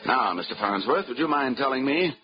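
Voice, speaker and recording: A man's voice: medium pitch, lively intonation, a little fast, very noisy, natural voice. A man playing a breezy, wisecracking insurance investigator in a 1940s radio drama. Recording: dull old radio recording with music.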